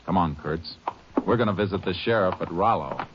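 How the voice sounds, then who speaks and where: Male actor as Texas Ranger lead, low, terse, authoritative, vintage radio drama, muffled noisy recording. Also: brisk, loud music behind the voice, close to an everyday voice. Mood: businesslike. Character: steady, shrewd Texas Ranger investigator, also narrating. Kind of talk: 1950s radio crime drama acting.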